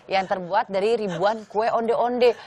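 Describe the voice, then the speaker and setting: A woman's voice: medium pitch, measured pace, a little mellow. A young adult woman co-hosting in a TV studio, chatting and reading out trivia. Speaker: TV show co-host. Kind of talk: lively studio chat and reading out food trivia. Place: TV studio kitchen set, indoors.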